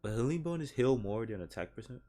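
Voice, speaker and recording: A man's voice: mid-range voice, lively intonation, quick pace, mellow, a little hiss or hum. A man giving casual gameplay commentary, talking to himself in slang. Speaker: gaming streamer. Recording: game music behind the voice. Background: video game music.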